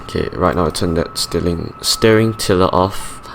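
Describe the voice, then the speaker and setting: A young man with a Singaporean English accent, raspy from just waking up, mumbling through a flight sim checklist in halting play-by-play. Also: sits low, animated. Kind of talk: casual play-by-play of a simulated flight. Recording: loud aircraft engine hum behind.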